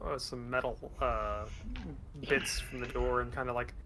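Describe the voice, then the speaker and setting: A man's voice: mid-range voice, steady tone, unhurried, pushed and bright, a little hiss or hum. A young man running a tabletop game, narrating with many fillers and restarts. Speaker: game master. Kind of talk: improvised tabletop narration, casual chat.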